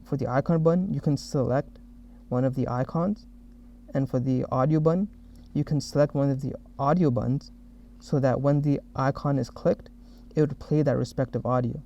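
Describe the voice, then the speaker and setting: A man narrating a software demo as the developer who made it, explaining each step. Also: mid-range voice, normal speed, vocal fry. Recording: dull, muffled lo-fi audio.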